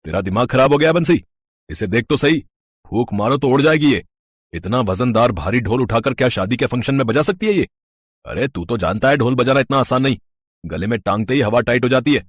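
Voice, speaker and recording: A man's voice: medium pitch, animated, brisk, breathy edge, dry recording, clean studio sound. A man voicing a brusque, bossy owner of a wedding band in a story. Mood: dismissive, scolding. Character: gruff, dismissive male boss of a wedding band.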